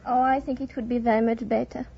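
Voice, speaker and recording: A woman's voice: a little high, level tone, quick pace, vocal fry, dull audio, background noise. A woman from France with a French accent, a quiz contestant answering questions politely. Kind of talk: polite game show answers.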